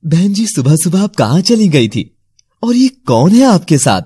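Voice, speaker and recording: A man voicing a troubled, dramatic nobleman in an animated fairy tale, with a slightly husky voice. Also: high voice, a little slow, a little hiss or hum. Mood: concerned.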